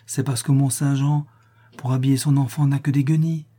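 A man doing a gentle, pleading young woman. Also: mid-range voice, brisk, breathy edge, pitched above the performer's own voice, natural voice. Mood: sad, bitter. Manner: soft, pleading, calm.

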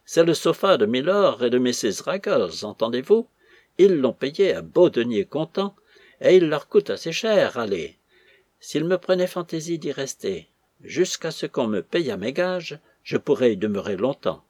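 A man's voice: mid-range voice, expressive, moderate pace, no room sound, clean studio sound, close to an everyday voice. A man voicing an insolent, tipsy, rebellious woman cook with a mocking, brazen manner. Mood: mocking, defiant.

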